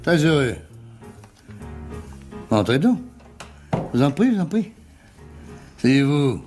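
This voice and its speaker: An actor playing an effusive, pompous executive welcoming a visitor in a French radio drama, jovial and fond of repeating himself. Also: male voice, a little high, very animated, takes it slowly, a little mellow, faint music behind.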